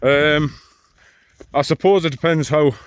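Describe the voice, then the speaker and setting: A man, talking in a deep, mumbling voice in a casual walking vlog outdoors, rambling with dry self-mocking asides. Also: measured pace, clean tone, no room sound. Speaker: long-distance hiker vlogger. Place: outdoors on a hill trail.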